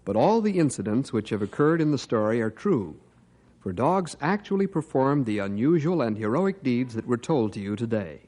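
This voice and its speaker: An old-time radio announcer speaking to young listeners with a lively, animated lilt. His delivery is upbeat and clear, in a mid-range male voice. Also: mid-range voice, moderate pace. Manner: lively, upbeat address to children.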